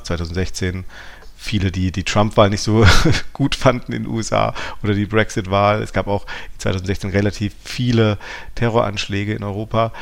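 Low-pitched man, podcast co-host. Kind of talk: conversational philosophy podcast talk.

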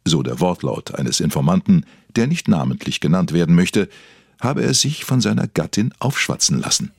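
A man playing a solemn documentary narrator, delivering scripted lines in a weighty, dramatic way with a husky voice. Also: deep voice, sing-song, normal speed, dry recording, clean studio sound, full character voice. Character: male documentary narrator, solemn, mock-investigative.

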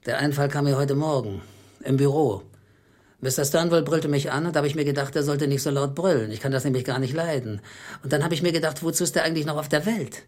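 A man's voice: on the high side, fast, no room sound, close to an everyday voice. Man acting a brooding, henpecked clerk, close and dry. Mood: agitated, boastful. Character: henpecked, embittered small office clerk husband narrating his marriage. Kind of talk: radio play, dramatic first-person narration and dialogue.

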